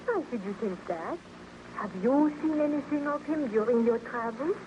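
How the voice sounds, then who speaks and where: An anxious young sweetheart, acted by a woman, devoted and full of earnest questions. Her voice sits fairly high, with the melodrama of vintage radio acting. The recording is dull and heavily noisy. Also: expressive, moderate pace, close to an everyday voice. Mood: worried, questioning. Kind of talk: old-time radio drama acting. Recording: noisy, muffled old-time radio recording.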